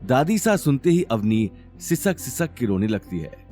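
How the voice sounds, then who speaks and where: A man's voice: medium pitch, very animated, unhurried, darkish tone, soft background music. A man narrating a scripted audio drama serial in a dramatic storytelling style.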